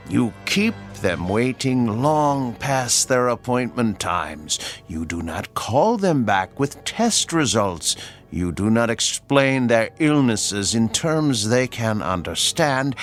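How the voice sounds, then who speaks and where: An actor playing a mysterious male stranger who speaks in a slow, measured and formal way in a radio drama. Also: mid-range voice, fairly bright tone. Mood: stern.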